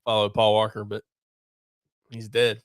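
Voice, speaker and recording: A man's voice: lowish voice, monotone, a little slow, dry recording. A man hosting a podcast, teasing his co-hosts and introducing the guest in casual banter. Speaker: podcast host.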